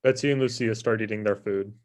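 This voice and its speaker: A man's voice: fairly low pitch, fairly even intonation, fast talker. A man narrating scripted stage directions for a live table read.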